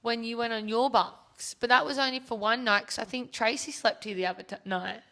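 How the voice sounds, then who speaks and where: A young Australian woman with a bright, slightly high voice chats casually as a podcast host. She giggles a lot and corrects herself mid-sentence. Her voice sounds a little worn. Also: fairly even intonation, fairly quick. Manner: giggly, chatty, self-correcting. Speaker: podcast host and gym founder. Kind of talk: casual chat.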